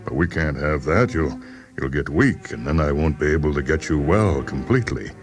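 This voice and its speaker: Man playing a very deep, chummy doctor. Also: animated, normal speed. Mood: coaxing, jovial. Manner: hesitant, patronizing bedside chumminess. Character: affable male doctor coaxing a stubborn psychiatric patient. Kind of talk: dramatized short-story reading for radio. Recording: voice over background music.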